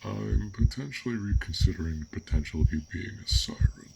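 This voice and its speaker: A man voicing a gentle, bashful dragon in an intimate fantasy audio roleplay, speaking haltingly in formal, old-fashioned words. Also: lowish voice, animated, vocal fry, background noise. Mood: fond, content. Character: fearsome but gentle, bashful, curious male dragon. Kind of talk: roleplay audio acting, intimate one-sided dialogue.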